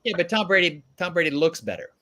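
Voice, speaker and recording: A man's voice: a little high, big pitch swings, fast, bright, forceful tone. A middle-aged man hosting a casual, joking panel chat. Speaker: host.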